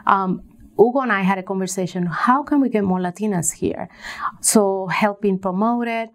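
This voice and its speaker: A woman from Puerto Rico giving conversational answers as an interview guest, pausing often as she tells her story. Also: mid-range voice. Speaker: interview guest, business coach.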